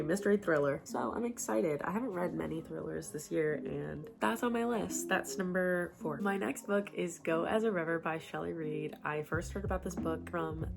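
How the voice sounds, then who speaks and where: Chatty woman with a cold, low voice. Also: gravelly. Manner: chatty, filler-heavy, giggly asides. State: slightly congested from a cold. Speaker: book vlogger. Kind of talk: casual chat. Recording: background music under the voice.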